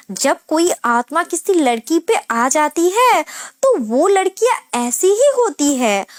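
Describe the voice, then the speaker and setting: A woman's voice: high voice, dry recording, studio quality. A woman reading a scripted emotional family story in the first person, as a dramatic storyteller. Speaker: story narrator.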